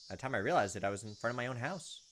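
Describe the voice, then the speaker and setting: Fast-talking man narrating a game. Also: medium pitch, expressive, background noise. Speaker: gaming YouTuber reading a visual novel aloud. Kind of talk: expressive narration with casual asides.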